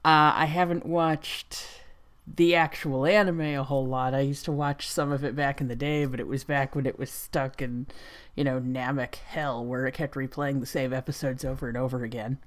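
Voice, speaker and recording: A woman's voice: a bass voice, steady tone, moderate pace. A woman reacting casually and off the cuff, with wry, skeptical asides. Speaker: reaction video host.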